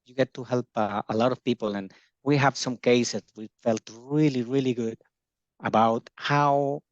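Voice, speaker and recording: A middle-aged man originally from Colombia, an education agency founder talking in an interview, pausing often with fillers and restarting his sentences. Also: mid-range voice, steady tone, no echo, highs cut off. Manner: hesitant, many fillers and restarts. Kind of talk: casual video-call interview.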